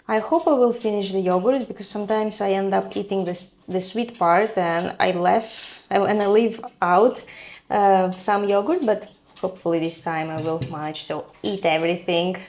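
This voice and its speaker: Young woman, Greek accent, mid pitch, chatty vlogger, faint hum behind. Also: normal speed, darkish tone. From Greece. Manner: chatty, thinking aloud with fillers and small restarts. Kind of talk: casual vlog chat.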